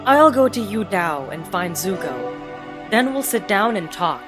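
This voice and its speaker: A woman's voice: mid-range voice, animated, measured pace, over music, close to an everyday voice. A woman voicing an earnest young boy hero with a troubled conscience, pleading and sincere, in a comic dub. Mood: resolved. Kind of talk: animated-style comic dub acting.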